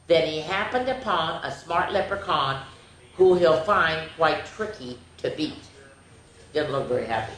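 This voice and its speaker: A woman hosting children's story time, reading picture books expressively and playfully, with chatty asides to young listeners. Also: low voice. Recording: very echoey room with faint noise.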